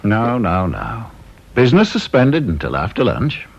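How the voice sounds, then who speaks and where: A man's voice: lowish voice, wildly swooping pitch, a little slow, vocal fry, slightly rough, heavily acted. A middle-aged man with an English accent playing a caustic, domineering board chairman in a British radio drama, sardonic and officious. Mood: breezy authority. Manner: sardonic, officious, drawling emphasis. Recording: dull, lo-fi radio recording.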